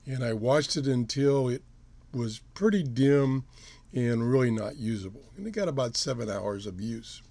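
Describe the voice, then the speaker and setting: A man's voice: mid-range voice, a little mellow, no echo. A middle-aged man hosting his home radio show, casually talking through test results with fillers and self-corrections. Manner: plain-spoken, rambling with fillers and self-corrections. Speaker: radio show host reviewing gear. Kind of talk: casual product review talk.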